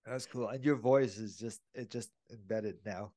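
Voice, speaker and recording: A man hosting a podcast in casual chat, jokey and quick to laugh. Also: fairly low pitch, dark tone, dry recording. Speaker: podcast host.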